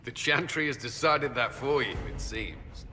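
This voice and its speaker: A man's voice: medium pitch, a little slow, pushed and bright, big-room sound, soft background music. A man playing an earnest, combative military commander in a video game, forthright and skeptical. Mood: skeptical, firm.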